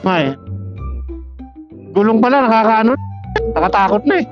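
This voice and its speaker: A man's voice: high-pitched, lively intonation, moderate pace. A man chatting and laughing with a friend over a helmet intercom while riding a motorcycle. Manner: laughs often, chatty, teasing. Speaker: motorcycle rider. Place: on a motorcycle on the road. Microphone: helmet intercom headset. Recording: muffled lo-fi intercom audio with faint music.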